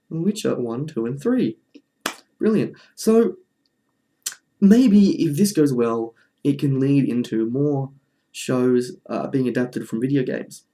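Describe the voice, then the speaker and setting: Male presenter, mid-pitched and mellow, informal and chatty, for casual review commentary with a wry touch. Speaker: presenter of a film review video essay.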